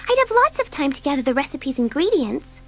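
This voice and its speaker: Very high-pitched, daring schoolgirl. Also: a little fast, faint music behind. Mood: scheming. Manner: eager, coaxing, expressive. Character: bored, daring, impulsive schoolgirl with a taste for mischief. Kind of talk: dramatised children's audiobook acting.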